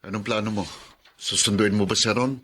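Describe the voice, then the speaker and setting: An actor playing a concerned, questioning friend and confidant in a radio drama. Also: male voice, lowish voice, steady tone, brisk, a bit bright, husky. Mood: curious.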